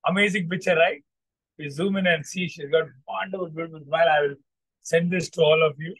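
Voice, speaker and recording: Higher-pitched Indian man coaching. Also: steady tone, a bit bright, no echo, rough recording. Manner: halting, repetitive, encouraging. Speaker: coach and host. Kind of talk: motivational storytelling to an online group.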